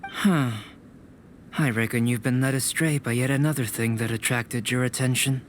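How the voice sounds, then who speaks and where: A composed young alchemist mentor, voiced by a man, with an even, mid-pitched voice. He speaks calmly and with measured care, softly reproachful. Faint ambience sits behind him. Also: a little hiss or hum, lightly acted. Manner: calm, measured, quietly reproachful. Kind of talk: video game voice acting.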